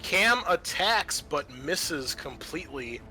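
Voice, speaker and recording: Bright-voiced man running a tabletop game. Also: on the high side, very animated, takes it slowly, soft background music. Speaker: game master. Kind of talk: running a tabletop roleplaying game, improvised narration.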